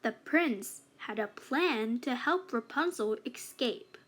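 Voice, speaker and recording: A woman reading a children's fairytale aloud as a storybook narrator, with deliberate phrasing for young learners. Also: a little high, expressive, a bit bright.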